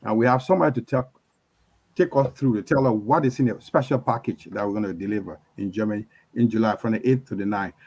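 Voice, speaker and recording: A man hosting a political interview, introducing and prompting his guest in halting, repetitive phrases. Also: lowish voice, darkish tone, husky. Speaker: host, interviewer.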